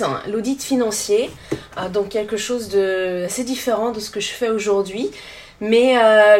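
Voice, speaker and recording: A woman in her thirties from France with a French accent, giving conversational answers as a podcast guest. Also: mid-range voice. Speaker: podcast guest, tour guide.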